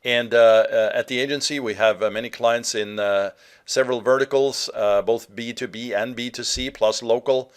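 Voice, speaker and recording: A man from Norway with a mid-pitched voice leads a webinar as a marketer addressing his viewers directly. His delivery is conversational and a little halting, peppered with fillers as he explains his points. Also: fairly even intonation, smooth. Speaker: presenter, digital marketer. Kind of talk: webinar sales presentation.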